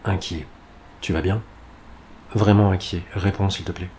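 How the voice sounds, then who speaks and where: A man playing a gruff but caring, blunt and slangy policeman in an audio drama. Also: low voice, fairly even intonation, unhurried, darkish tone, slight background noise. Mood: concerned.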